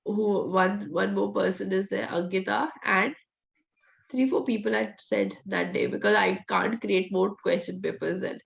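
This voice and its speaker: A woman leads a coding class in a hoarse, fading voice with some gravel in it. Her delivery is direct and coaching, at a middle pitch. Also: unhurried, rough recording. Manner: direct, coaching, laughs often. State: voice nearly gone, hoarse. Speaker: VBA trainer. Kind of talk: live online class, teaching and answering questions.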